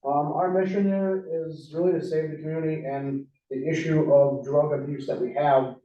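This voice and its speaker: A man from Wisconsin presenting at a public meeting as a police drug unit sergeant, earnest and halting with lots of fillers. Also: a little high, steady tone. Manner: earnest, hesitant with many fillers. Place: large town meeting hall. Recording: echoey meeting hall, far mic, dull.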